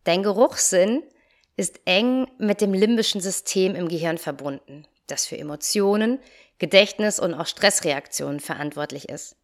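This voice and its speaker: A young woman hosting her own podcast as a yoga teacher and coach, talking in a calm, friendly way straight to the listener, her nose still a little stuffy from a cold. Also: on the low side, normal speed. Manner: calm, friendly direct address. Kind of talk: solo podcast talk.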